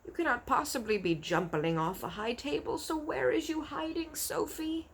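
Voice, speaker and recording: Gentle storybook giant, voiced by a woman reader, pitched up, nervous, hesitant, stammering, pleading, mangled grammar. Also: normal speed, a little hiss or hum. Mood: anxious, desperate. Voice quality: raised, stammering voice.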